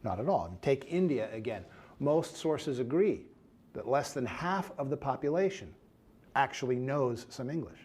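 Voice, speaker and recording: A man's voice: medium pitch, animated, moderate pace, darkish tone, breathy edge. A man giving a scripted academic lecture in an explanatory way, putting rhetorical check questions to his listeners.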